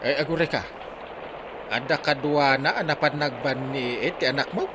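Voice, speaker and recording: An actor with an Ilocano Filipino accent playing a concerned, exasperated village captain in a comedy radio drama. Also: male voice, mid-range voice, moderate pace, background noise, close to an everyday voice.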